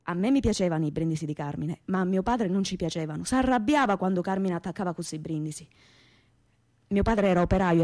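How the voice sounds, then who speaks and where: A woman's voice: medium pitch, warm tone, dry recording, clean studio sound, lightly acted. An actress playing a fiery young working-class woman with a Calabrian southern Italian accent, telling her story colloquially and emphatically in a radio theatre monologue. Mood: earnest recounting.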